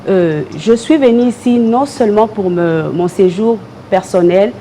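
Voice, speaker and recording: A Congolese woman speaks as a political guest in French with a Congolese accent. Her tone is dark and relaxed, and her answers are measured with some hesitation. Faint noise and ambience sit behind her. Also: mid-range voice. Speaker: political party member, guest.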